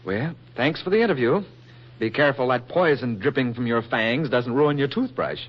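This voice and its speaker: A man acting as a wisecracking American newspaper reporter in a 1940s radio play. He is dry and sceptical, with a very expressive mid-range voice. The old recording sounds dull. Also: mid-range voice, normal speed, noisy background, close to an everyday voice. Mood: sardonic, sceptical. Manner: dry, sardonic.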